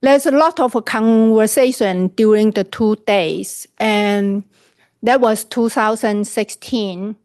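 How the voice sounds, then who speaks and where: A woman whose first language is Cantonese, testifying as a witness over a video call, speaking haltingly and searching for words. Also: medium pitch, darkish tone, no echo. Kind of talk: court testimony.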